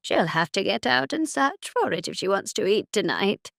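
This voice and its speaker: A woman's voice: medium pitch, very animated, normal speed, vocal fry, fairly bright tone, dry recording, clean studio sound. A woman voicing a cold, cruel, haughty noblewoman in an audiobook drama, sneering and dismissive. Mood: smug.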